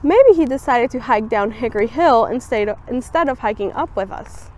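Young woman, higher voice, expressive picture-book read-aloud, outdoor camera recording. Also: moderate pace. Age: young adult. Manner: expressive storybook reading with lively voiced quotes. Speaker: student volunteer reader. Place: outdoors on a campus bench.

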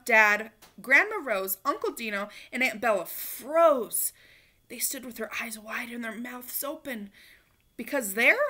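A woman reads a children's storybook aloud. Her voice is high and bright, with big expressive swings. She leans on key words and plays up the drama. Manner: animated, emphatic, acts out dialogue. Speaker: storybook reader. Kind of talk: expressive read-aloud of a children's picture book. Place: small living room, indoors on a couch.

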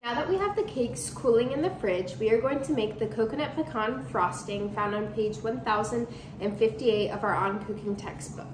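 A woman's voice: on the high side, moderate pace. A young woman in her home kitchen, presenting a cook-along baking tutorial and reading out measurements step by step. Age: young adult. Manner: reads measurements off steadily, step by step. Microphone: camera in front at waist distance. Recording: echoey kitchen with background noise.

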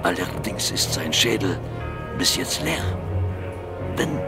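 An actor playing a grandiose, obsessive mad surgeon in an audio drama, halting and theatrical, menacing and fond of repeating his own phrases. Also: low-pitched, sing-song, over loud music, heavily acted. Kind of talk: German audio drama acting.

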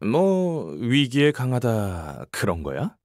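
A woman's voice: extremely low pitch, huge pitch swings, slow pace, darkish tone, no room sound, clean studio sound, exaggerated voice. A woman playing a cocky young military announcer in a game, informal and blunt, with teasing banter. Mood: casual, teasing. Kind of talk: scripted game voice acting, battle commentary.

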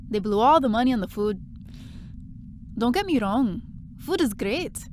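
Woman acting young part-timer, breezy, blunt, unhurried delivery, audio drama, faintly noisy recording. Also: mid-range voice, lightly acted. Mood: blasé.

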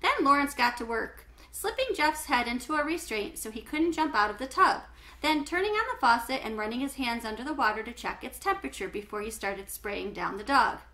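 A middle-aged woman narrates a children's book in a bright, forward voice that sits a little high. She phrases things clearly and tells the story in an animated, expressive way. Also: a little fast. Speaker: read-aloud storyteller. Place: small home living room, indoors.

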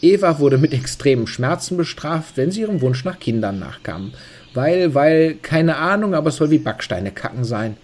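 A man's voice: fairly high pitch, normal speed. A man playing a reluctant, irreverent narrator reading a mock storybook tale. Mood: mock-solemn, flippant. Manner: animated, irreverent.

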